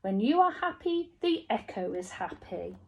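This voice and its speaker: Woman reading as a wise old beaver, lively intonation. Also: medium pitch, a little slow, muffled recording. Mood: calm, explaining. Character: wise old male beaver, gently explaining.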